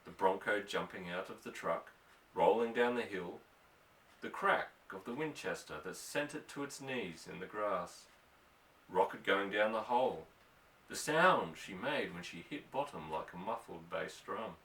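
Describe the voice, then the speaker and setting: A man's voice: fairly low pitch, animated, measured pace, a bit bright. A man reading a novel aloud as scripted literary narration. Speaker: audiobook narrator. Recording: roomy echo, distant from microphone.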